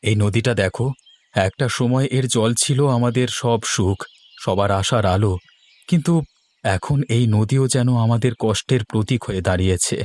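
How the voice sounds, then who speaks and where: A man's voice: lowish voice, moderate pace, a little mellow, no echo, lightly acted. A man voicing a poor, despairing village father in a melodramatic cartoon, plaintive and bitter. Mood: weary, bitter. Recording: music behind the voice. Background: background music.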